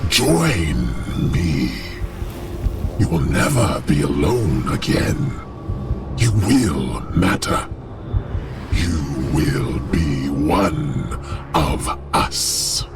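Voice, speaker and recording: A male actor voicing a menacing, seductive dragon lord in a fantasy game, theatrical and taunting, with a gravelly voice. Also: a bass voice, big pitch swings, extremely slow, exaggerated voice. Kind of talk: dramatic fantasy game villain acting. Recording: loud music under the voice.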